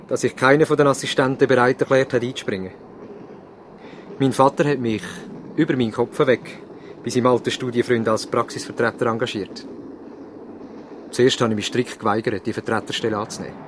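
A male actor plays an earnest young hospital doctor in a vintage radio drama. He has a Swiss German accent and a mid-pitched voice, speaking formally and with measured care over light background noise. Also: close to an everyday voice. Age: young adult. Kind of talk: 1970s radio drama narration and dialogue.

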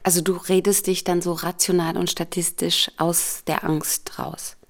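A woman's voice: on the low side, little pitch movement, a little slow, vocal fry, noisy background. A woman in conversation, probing and sceptical as she questions. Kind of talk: conversational challenge. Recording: music bed under voice. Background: drum music.

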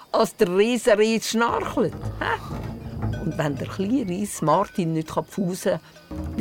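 A woman playing a spirited, matter-of-fact older grandmother telling stories in Swiss German dialect in a radio play. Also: lowish voice. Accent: Swiss German, Glarus area. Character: spirited storytelling grandmother of a young boy.

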